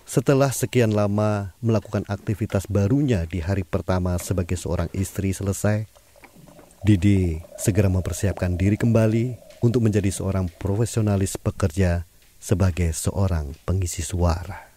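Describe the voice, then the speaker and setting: A man narrating an audio drama in a calm, measured storytelling manner. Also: low-pitched.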